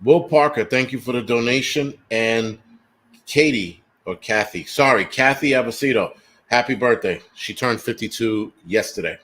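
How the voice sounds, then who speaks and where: A man's voice: medium pitch, moderate pace, fairly bright tone. A man in his forties hosting a casual livestream chat, teasing and swearing freely while reading viewer comments aloud. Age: 40s. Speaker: livestream host.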